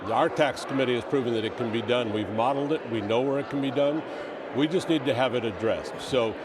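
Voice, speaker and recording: A man's voice: medium pitch, fairly quick. Assertive male politician in a noisy lobby. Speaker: state representative. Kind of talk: political interview response. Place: large indoor statehouse lobby. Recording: noisy public lobby ambience.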